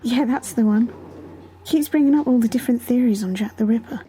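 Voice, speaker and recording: Mellow, teasing young female student acted out. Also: fairly high pitch, a little fast, slight background noise, natural voice. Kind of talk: amateur audio drama acting.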